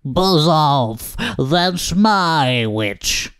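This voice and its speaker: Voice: medium pitch, expressive, very slow, a bit bright, smooth, no echo, studio quality, pitched above the performer's own voice. A storyteller voicing a horrible, bossy, protective swamp beast in a children's storybook. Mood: threatening.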